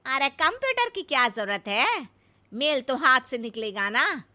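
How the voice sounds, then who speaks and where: Older rustic mother-in-law for comic cartoon: very sharp, slow-drawling, scolding, cluelessly confident. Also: high-pitched, sing-song, exaggerated voice. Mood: naive, confident. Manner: scolding, literal-minded, folksy, drawn-out delivery. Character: brash, naive village mother-in-law, older woman. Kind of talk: comic cartoon story acting.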